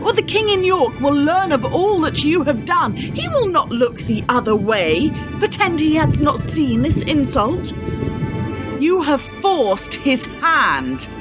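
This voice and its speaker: A wounded, accusing noblewoman of medieval times, played by a woman, speaking archaic lines slowly and deliberately. Her high voice moves expressively up and down, with loud music behind this radio play. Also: sing-song, heavily acted. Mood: accusing, alarmed. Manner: declamatory, measured, accusing. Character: reproachful, wounded medieval noblewoman, fearful wife and mother. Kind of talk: theatrical radio drama in archaic English.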